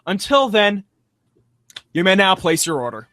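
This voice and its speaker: High, bright, animated male show host. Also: a little slow, no room sound, soft background music. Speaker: host and voice actor of a read-aloud show. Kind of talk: theatrical show sign-off.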